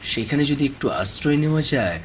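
A man's voice: medium pitch, expressive, brisk, a little hiss or hum, voice pushed up, lightly acted, poor audio. A man voicing a weary, regretful villager just out of prison in a horror cartoon, sighing and speaking respectfully. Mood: pondering.

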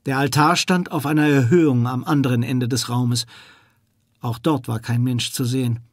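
A man's voice: mid-range voice, steady tone, normal speed, no room sound, studio quality. A man narrating a suspenseful children's audio drama with dramatic scripted storytelling. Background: music.

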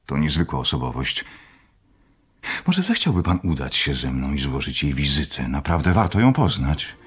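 A man acting as a courteous, confident doctor in a radio play, formal and deliberate, with a husky voice. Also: extremely low pitch, big pitch swings. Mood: interested, professional.

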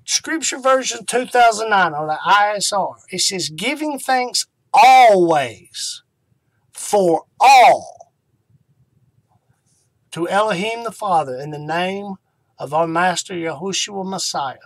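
A middle-aged man speaks as a ministry host in a somewhat high male voice. The sound is dry, with little room around it. He talks informally and devotionally, taking his time and pausing for emphasis. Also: expressive, no room sound, poor audio. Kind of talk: informal devotional talk to camera.

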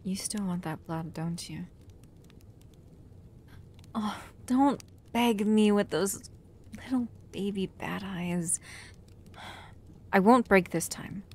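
A woman acting a protective, doting human caretaker of a vampire in an intimate, soft-spoken ASMR roleplay, often dropping toward a whisper. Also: medium pitch, takes it slowly, pauses often, close to an everyday voice. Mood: reluctant, indulgent. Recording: clear background noise and ambience.

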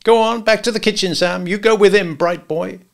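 A man doing a high, snapping little gangster. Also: fairly even intonation, normal speed, vocal fry, a bit bright. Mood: bossy. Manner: curt, bossy, contemptuous. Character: small snarling male gangster hitman.

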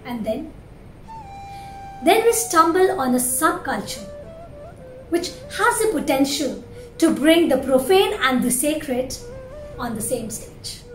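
A woman in her thirties reads a prepared message with theatrical delivery. Her high voice swings widely in pitch, and the room echoes over soft music. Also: expressive, slow, pauses often. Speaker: actor reading a message. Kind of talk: dramatic scripted reading. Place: indoor room with shelves.

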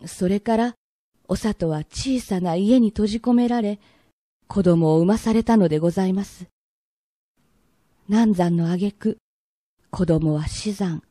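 Woman telling an old-time japanese radio drama, very bright crisp edge to her voice, dry studio sound, polite measured storytelling. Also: medium pitch, dry recording. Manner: polite, measured narration in old-fashioned honorifics. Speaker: narrator.

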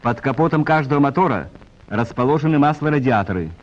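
A male narrator heard through a dull, muffled old film soundtrack. He reads technical script in the formal manner of a 1940s announcer. His delivery is measured, at a middling pitch. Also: lively intonation, soft background music. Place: voice-over recorded for a film.

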